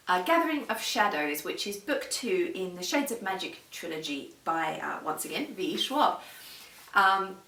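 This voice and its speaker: A woman's voice: on the low side, a bit bright. A woman giving a casual, enthusiastic, chatty book vlog to camera. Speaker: book vlogger. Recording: roomy echo, distant microphone.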